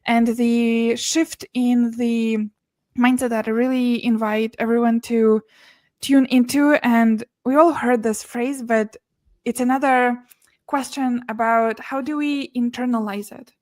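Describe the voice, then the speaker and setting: A young woman who grew up in Russia and speaks English as a second language, her voice sitting a little high. She talks earnestly in a relaxed interview, often restarting words and laughing softly between them. The sound is close and dry, with no room around it. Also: unhurried, dry recording. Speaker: podcast guest, business and mindset mentor. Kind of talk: casual interview conversation.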